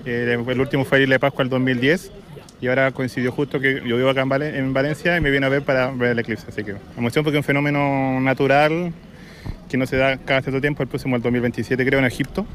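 A Chilean man gives a spontaneous interview outdoors as a spectator. He speaks quickly at a medium pitch, with a Chilean accent. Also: fairly even intonation, fairly bright tone. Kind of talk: spontaneous vox pop. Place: outdoors at a public gathering.

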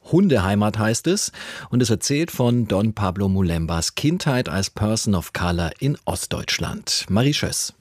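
A man's voice: fairly low pitch, a little mellow, husky, dry recording, studio quality. A man presenting on radio, reading a scripted introduction to a report.

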